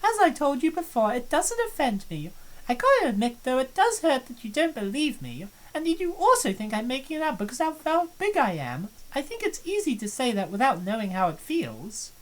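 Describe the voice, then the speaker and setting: A bright, high and lively voice: a woman playing a cheerful young woman who is fully at ease with herself. She talks in a breezy, self-assured way, and her pitch swoops freely up and down. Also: brisk, low-quality recording. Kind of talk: fan voice-over of a visual novel.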